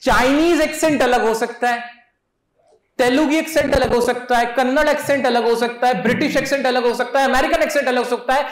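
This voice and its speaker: A man's voice: very high voice, a little fast. A young man from India teaching English at a live seminar, speaking on stage into a handheld mic and coaxing students with questions. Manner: energetic, coaxing, asks the crowd questions. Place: large auditorium stage. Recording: echoey hall.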